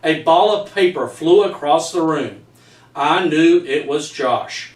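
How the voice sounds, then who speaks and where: A man's voice: fairly high pitch, takes it slowly. A middle-aged man, a teacher earnestly reading his own story aloud and stumbling over some words. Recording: echoey room, distant microphone.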